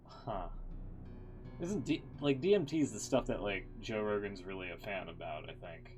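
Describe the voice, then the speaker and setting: A man's voice: on the low side, lively intonation, moderate pace, a little mellow, soft background music. A man streaming a game, giving informal, jokey live reactions in casual speech.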